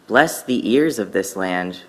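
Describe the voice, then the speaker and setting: A man with a fairly low voice recites poetry at a worship service. He takes it slowly, in solemn, measured phrases that have an incantatory feel. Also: expressive, a bit bright.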